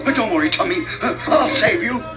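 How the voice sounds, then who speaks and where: A man's voice: high voice, expressive, a little fast. A man voicing an anxious, well-meaning cartoon bear in a storybook, flustered and hesitant. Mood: flustered, urgent. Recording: roomy, distant, loud music behind. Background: music.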